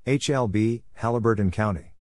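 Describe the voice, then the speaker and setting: Low-voiced man reading short drills, noisy recording. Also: normal speed, a little mellow. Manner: spells letter by letter, short isolated phrases. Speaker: Morse code practice instructor. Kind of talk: scripted read-out of spelled codes and place names.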